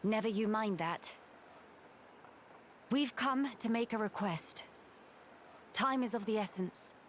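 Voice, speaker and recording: An actress playing a composed, stern noblewoman in a video game cutscene, speaking in a formal, measured, authoritative way. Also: mid-range voice, a little hiss or hum, close to an everyday voice. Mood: businesslike, firm. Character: composed, stern noblewoman urging a veteran to act.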